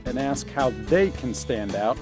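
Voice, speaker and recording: A man giving measured, scripted documentary narration. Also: medium pitch, animated, music in the background.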